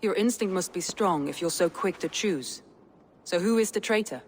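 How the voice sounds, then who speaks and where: A woman's voice: fairly low pitch, normal speed, a little hiss or hum, close to an everyday voice. Woman playing a grave, commanding Danish clan leader. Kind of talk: cinematic game voice acting.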